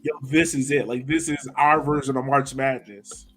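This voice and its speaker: A man with a mid-range voice co-hosts a casual podcast conversation. He speaks in a relaxed, earnest way, leaning on easy fillers as he makes his case. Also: mid-range voice, normal speed, soft background music, low-quality recording. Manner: relaxed, earnest, many "like" and "you know" fillers. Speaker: podcast co-host.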